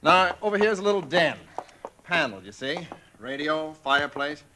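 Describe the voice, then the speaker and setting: A man's voice: a little high, animated, normal speed, bright, forceful tone, slight background noise. An actor playing a folksy real estate agent with salesman-like patter, in 1940s radio acting style. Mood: salesy.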